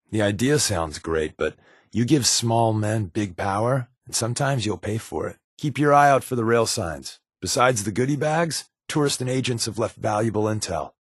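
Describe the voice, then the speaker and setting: Wry undercover agent, dry close sound. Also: male voice, on the low side, breathy edge, no echo. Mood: earnest, guarded. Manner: wry, glib, conversational. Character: wisecracking, secretive male undercover agent, sly and guarded. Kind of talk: video game companion dialogue.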